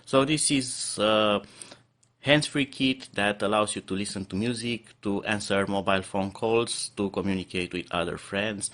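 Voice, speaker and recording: A man's voice: lowish voice, moderate pace, fairly bright tone. A man giving an unscripted product review, explaining features step by step with hesitant, slightly non-native phrasing.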